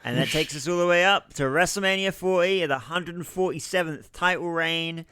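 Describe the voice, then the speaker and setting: A hoarse man co-hosting a wrestling podcast in casual chat, recounting history with some swearing. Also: a little high, a little fast, bright, forceful tone, no echo, studio quality. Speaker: podcast co-host.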